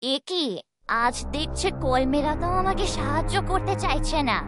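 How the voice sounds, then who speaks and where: A woman's voice: high voice, moderate pace, loud music behind the voice, close to an everyday voice. A woman voicing a scheming, self-pitying wife in a fairytale cartoon, whiny, wheedling and melodramatic. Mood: anxious scheming. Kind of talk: children's fairytale cartoon dubbing.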